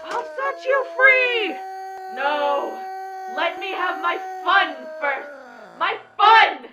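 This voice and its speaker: A woman's voice: very high-pitched, expressive, extremely slow, music in the background, exaggerated voice. A woman playing a sadistic, gleefully violent ghost girl in an audio drama, taunting and menacing. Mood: manic. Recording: dull lo-fi sound with roomy echo.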